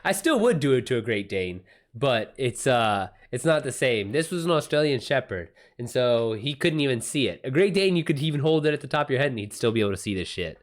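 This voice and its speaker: A man's voice: mid-range voice, big pitch swings, fairly quick. A man chatting casually as a live streamer, in a slangy, profane and emphatic way. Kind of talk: casual livestream chat.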